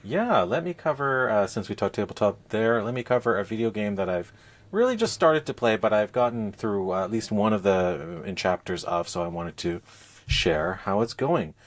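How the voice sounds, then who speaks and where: A man speaking as a podcast co-host, explaining things in a casual, halting, conversational review style. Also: fairly low pitch, lively intonation. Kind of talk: casual game review chat.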